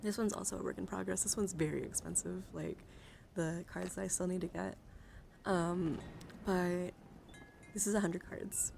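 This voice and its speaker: Soft-spoken, gravelly-edged woman doing livestream ASMR. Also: lowish voice, fairly even intonation, moderate pace. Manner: soft-spoken, often dropping into whispers; soothing, gently encouraging. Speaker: livestreamer hosting an ASMR card-showing stream. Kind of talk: ASMR, casual livestream chat. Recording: clearly audible background noise.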